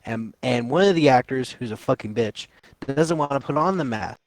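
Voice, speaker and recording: A man's voice: mid-range voice, expressive, moderate pace, no echo. A seventeen-year-old guy chatting casually about a horror movie, brash and rambling, swearing freely. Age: teens. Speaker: co-host. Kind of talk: casual movie review chat.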